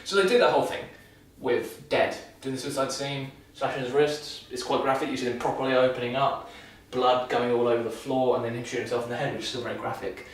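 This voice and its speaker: A man's voice: mid-range voice, little pitch movement, fast, few pauses. Young man rambling in an echoey room. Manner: loose and chatty with frequent restarts and dry sarcasm. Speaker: vlogger. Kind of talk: casual rambling film review. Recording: echoey room, mic far off.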